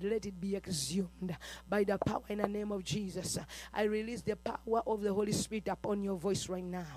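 A man's voice: sits high, dark tone, rough recording. A man leading a fervent chanted prayer with rhythmic, repetitive declarations full of "uh", breaking into tongues between them. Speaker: preacher.

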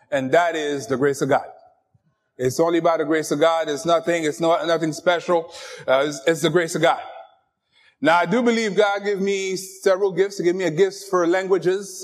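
Pastor, man, French first language, high voice, joking and lively with the congregation. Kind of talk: sermon.

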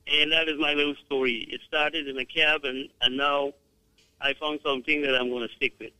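A man's voice: a little high, level tone, normal speed, bright, forceful tone, no echo, poor audio. A man calling in to a radio show, talking hesitantly with stammers and fillers. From Guyana. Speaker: caller. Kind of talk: casual on-air testimonial.

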